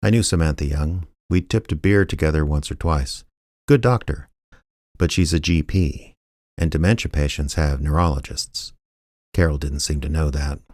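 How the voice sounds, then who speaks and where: An actor playing a laconic small-town private investigator, narrating his case in a deadpan, understated radio drama style. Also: rumbling low, moderate pace, dry recording, clean studio sound. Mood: wry, measured. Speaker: narrator and lead character.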